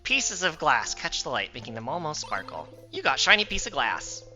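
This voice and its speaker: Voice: medium pitch, very animated, normal speed, pushed and bright, soft background music. A streamer with a light Southern US accent reading game text aloud and chatting between lines, laughing often.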